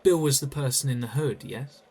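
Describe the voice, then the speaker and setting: Framed male detective in prison played by an actor: biting sarcasm, accusatory, medium pitch, audio play with slight noise. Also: normal speed, mellow, close to an everyday voice. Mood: pressing, accusing. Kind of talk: audio drama acting.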